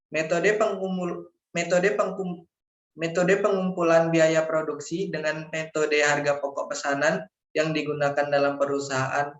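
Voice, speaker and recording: Young guy reading slides formally like a student, echoey dull lo-fi mic. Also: on the high side. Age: young adult. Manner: formal, recited, stumbling over words. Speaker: university student presenter. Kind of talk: scripted slide presentation, read aloud. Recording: echoey room, dull lo-fi microphone.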